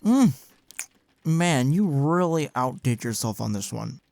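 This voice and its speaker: A man's voice: mid-range voice, sing-song, slow, vocal fry, darkish tone, slight background noise, heavily acted. A young man acting in an intimate audio roleplay as a caring, teasing college best friend, talking casually and earnestly. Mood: playful, chatty. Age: young adult.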